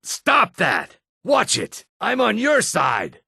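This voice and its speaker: A man playing a gruff, wary, streetwise lizard-man in a video game, with a raspy, gravelly voice. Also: high voice, wildly swooping pitch, slow pace, bright, forceful tone, no echo, studio quality, heavily acted. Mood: alarmed, angry. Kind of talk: video game character dialogue lines.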